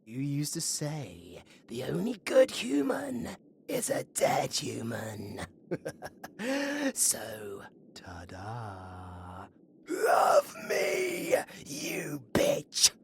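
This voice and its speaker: Wildly swooping, gravelly British necromancer villain. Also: male voice, medium pitch, wildly swooping pitch, drawn-out words, a little hiss or hum, heavily acted. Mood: teasing. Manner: theatrical, taunting, swinging between whispers and shouts. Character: undead male necromancer villain, flirtatious, gleefully wicked, theatrical. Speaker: audio roleplay voice actor. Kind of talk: ASMR audio roleplay acting.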